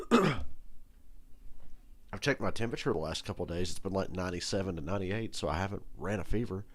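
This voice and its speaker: A young man with a hoarse, nasal voice, chatting casually and swearing freely while he reacts to a race live. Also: expressive, a little fast. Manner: rambling, swears freely, talks in a low register. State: hoarse, losing his voice. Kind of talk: casual profane chat over a broadcast.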